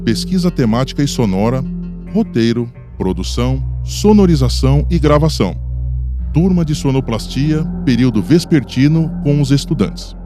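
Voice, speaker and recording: A man's voice: medium pitch, expressive, moderate pace. A man with a Brazilian accent reading scripted credits as a radio announcer. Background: music behind the voice.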